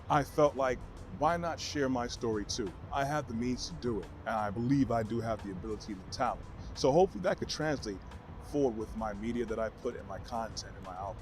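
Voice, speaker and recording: A man from Brooklyn with a New York accent and a deep baritone voice, answering questions to camera in an earnest, motivational way. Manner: earnest, motivational, measured phrasing. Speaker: vlogger.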